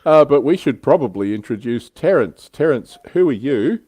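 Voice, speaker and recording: A man's voice: mid-range voice, expressive, moderate pace, warm tone, breathy edge, dry recording. A man with an Australian accent hosting a casual livestream chat in a dryly joking manner. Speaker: show host.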